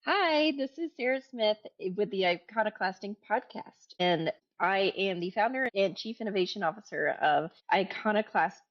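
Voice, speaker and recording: Chatty American woman host, slightly muffled audio. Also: medium pitch. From Atlanta, USA. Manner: enthusiastic, chatty, many fillers like "like" and "so". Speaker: podcast host. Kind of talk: casual interview conversation.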